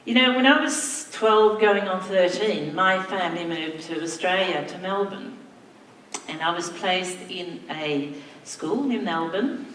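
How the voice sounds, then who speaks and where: An older woman, born in Sweden with Swedish as her first language, addresses her school staff in a slow, measured anniversary speech. Her voice is bright and clear in tone. She sounds far from the mic, with an echoey hall around her. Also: fairly low pitch, slight background noise. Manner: slow, reflective, warm thanks with soft laughs. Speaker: school principal. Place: large hall, on stage.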